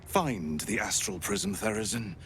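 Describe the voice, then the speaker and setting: An actor playing a cold, high-ranking alien inquisitor in a fantasy video game, terse, commanding and ominous. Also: male voice, sing-song, a little slow, a bit bright, slight background noise, heavily acted. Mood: cold, impatient.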